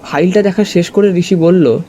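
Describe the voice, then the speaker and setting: An actor playing a detective's curious companion, narrating the story in the first person with expressive storytelling. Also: male voice, sits high, normal speed, close to an everyday voice. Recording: music under the voice.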